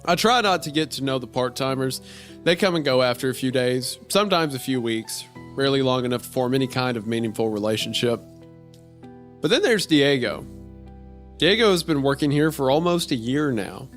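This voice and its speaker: Deadpan night-shift clerk, read by a man, dry first-person horror storytelling, medium pitch, music underneath. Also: fairly quick. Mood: dry, matter-of-fact. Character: deadpan gas station night clerk narrating strange events. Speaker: podcast host reading a horror story aloud. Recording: music behind the voice.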